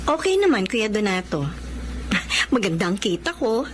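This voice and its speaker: A woman's voice: mid-range voice, very animated, a little slow, loud music behind the voice. A Filipino woman acting a resilient, cheerful working mother, sincere and plain-spoken, in radio drama. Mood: cheerful, resolute.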